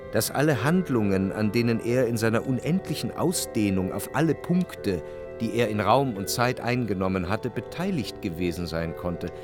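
An actor playing a brooding, introspective narrator, reading in measured, reflective for a literary radio drama. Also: male voice, medium pitch, lightly acted. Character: brooding, introspective male narrator recalling a troubled love. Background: music.

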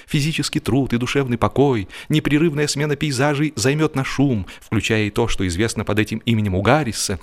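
Мужчина-рассказчик с иронией, средний голос. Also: male voice, mid-range voice. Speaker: narrator. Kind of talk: humorous audiobook storytelling, expressive scripted narration.

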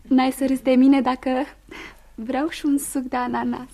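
High-voiced, mellow girlish sweetheart. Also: flat delivery. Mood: coy. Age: young adult. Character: sweet, girlish, easily thrilled young woman in love. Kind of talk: radio theatre acting.

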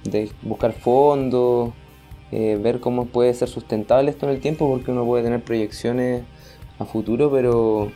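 A man's voice: medium pitch, fairly even intonation, normal speed, dark tone, faint music behind. A man with a Chilean accent in casual conversation, searching for words and using colloquial speech. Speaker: guest, podcast creator.

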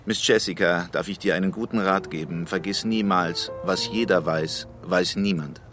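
An actor playing a coolly logical gentleman detective who questions deliberately in a radio drama. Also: sits low, soft background music. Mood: calm, deliberate.

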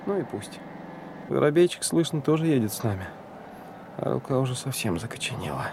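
An actor playing an anxious young police trainee thinking to himself in hurried, introspective lines of a Soviet radio drama. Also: male voice, mid-range voice, big pitch swings, vocal fry, background noise. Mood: worried.

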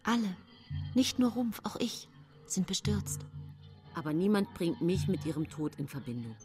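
A woman poisoner telling her own story in a radio drama. She is meek on the surface and coldly scheming beneath. Her delivery is deliberate and controlled, in a fairly low voice with music underneath. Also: big pitch swings. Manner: measured, deliberate first-person telling.

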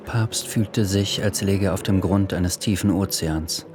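A man narrating a literary radio drama with measured, deliberate phrasing. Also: low-pitched, fairly even intonation, darkish tone. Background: music.

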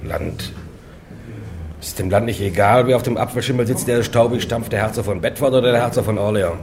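An actor playing a simple, grumbling, skeptical common guard in a radio play, earthy and questioning. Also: male voice, medium pitch, brisk, a little mellow, a little hiss or hum, natural voice. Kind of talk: German radio play acting.

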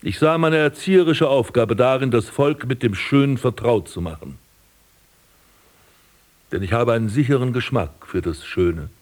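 An actor playing a vain, scornful prince and landscape gardener in a radio drama, speaking in pompous, ornate declamation. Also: male voice, medium pitch, lively intonation, normal speed, darkish tone, lightly acted. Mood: self-satisfied. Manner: pompous, declamatory, ornate old-fashioned diction. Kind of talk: literary radio drama acting, monologue and dialogue.

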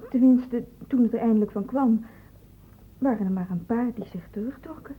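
An uneasy young woman in a radio drama, hesitant and subdued but willing to help. Her voice is high and a little husky, heard through a dull, old recording. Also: normal speed. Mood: hesitant, explaining. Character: anxious, cooperative young woman confessing to a doctor. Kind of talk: Dutch radio play acting.

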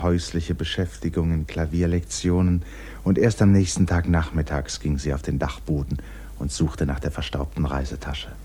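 An actor playing a measured literary storyteller, narrating a 1950s German radio drama. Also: male voice, deep voice, dark tone. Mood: measured, hushed. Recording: noisy 1950s radio recording.

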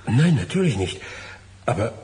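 Nervous, evasive husband with wildly swinging pitch, acted by a man. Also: very animated, normal speed, a little mellow, close to an everyday voice. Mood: flustered. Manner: hesitant, flustered, repeating himself. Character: nervous, evasive male lawyer, distracted husband and expectant father. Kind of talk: German radio play acting.

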